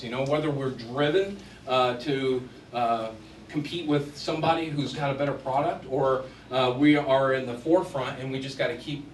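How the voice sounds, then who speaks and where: A middle-aged man from Washington State giving a conversational business talk to an audience, with a deep voice and many fillers. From Washington State, US West Coast. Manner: conversational, chatty, frequent fillers. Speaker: guest speaker. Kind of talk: casual business presentation. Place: event room with audience. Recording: echoey room, far from the mic, faint noise.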